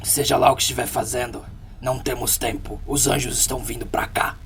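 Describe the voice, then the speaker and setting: A Brazilian man voicing a terse, ruthless armed fighter in a tabletop game, giving clipped, often hushed orders. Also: mid-range voice, flat delivery, a little fast, gravelly, a little hiss or hum. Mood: tense urgency. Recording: music playing behind the voice.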